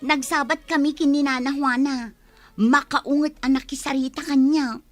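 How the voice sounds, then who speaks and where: A woman's voice: sits high, steady tone, moderate pace, soft background music, lightly acted. A woman with an Ilocano accent playing a worried, nagging village wife in a radio comedy drama. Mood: anxious, questioning.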